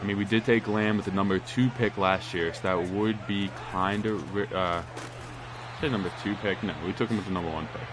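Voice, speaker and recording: A man with a congested, nasal voice giving casual play-by-play commentary on a football video game, rambling and getting louder on big plays. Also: deep voice, steady tone, a little fast. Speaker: gaming commentator.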